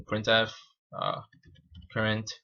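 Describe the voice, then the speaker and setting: Deep-voiced Spanish-native man live coding. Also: completely flat delivery, vocal fry, bright, forceful tone, highs cut off. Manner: halting, informal, self-narrating. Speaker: programming livestream host. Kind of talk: live coding tutorial, thinking aloud.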